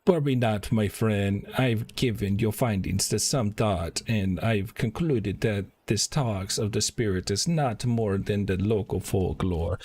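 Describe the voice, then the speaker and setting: A man voicing a fussy, self-important scholar from a fantasy game, reading the wordy dialogue a little haltingly. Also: lowish voice, a little mellow, husky. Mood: self-satisfied. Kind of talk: game quest dialogue read aloud.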